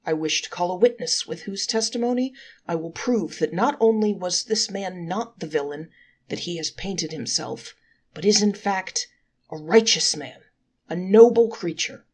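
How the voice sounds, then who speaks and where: A woman's voice: lowish voice, expressive, moderate pace, slightly rough, voice pushed up. A woman voicing an angelic defence counsel in a folktale, pleading in an emphatic, oratorical way. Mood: impassioned.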